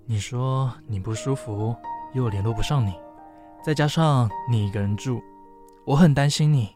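A man playing an attentive young suitor in an audio drama, gentle, concerned and softly insistent. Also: mid-range voice, normal speed, soft background music, close to an everyday voice.

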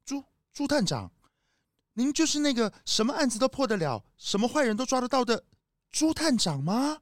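A woman's voice: a little high, big pitch swings, normal speed, a little hiss or hum. A woman voicing a shifty, overly polite male fox security guard in a children's detective radio play. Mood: awed, polite. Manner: ingratiating, hesitant.

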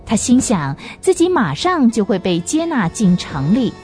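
A woman's voice: fairly high pitch, big pitch swings, measured pace, warm tone, faint music behind. A woman narrating a radio drama as a storyteller, reading from a script.

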